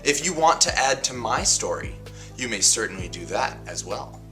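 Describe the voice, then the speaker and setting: A man reading a picture book aloud as a host, in an expressive storybook style with dramatic, deliberate phrasing and emphatic pauses. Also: mid-range voice, faint music behind.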